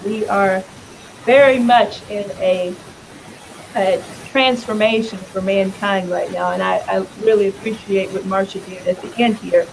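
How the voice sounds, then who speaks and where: Raspy-voiced woman giving a campaign speech. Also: medium pitch, steady tone, unhurried, background noise. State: hoarse. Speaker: congressional candidate.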